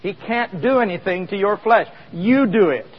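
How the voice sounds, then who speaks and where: A fairly high-voiced man preaching in a church hall, talking to the congregation like a conversation and tossing questions at them. His voice rings with a long hall echo, and the recording sounds slightly dull and muffled. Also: lively intonation, normal speed. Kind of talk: sermon with live demonstration.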